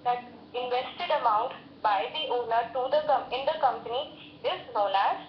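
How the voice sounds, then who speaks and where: A woman's voice: fairly high pitch, moderate pace, lo-fi sound. Hesitant young Indian woman, echoey noisy call. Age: young adult. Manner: hesitant, formal, deferential. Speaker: interview candidate, finance student. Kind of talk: answering interview questions.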